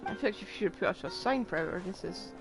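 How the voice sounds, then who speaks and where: Woman game streamer: chatty live commentary, expressive swings in pitch, dryly joking, clear music underneath. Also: quick pace. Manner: chatty, dry quips. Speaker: livestreamer.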